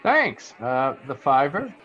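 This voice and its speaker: A man's voice: medium pitch, big pitch swings, takes it slowly, a bit bright, dull audio. A man playing a sly young American bellhop, hesitant and slangy, in an old-time radio detective drama. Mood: sly, chummy. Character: shifty young male bellhop hustling for tips.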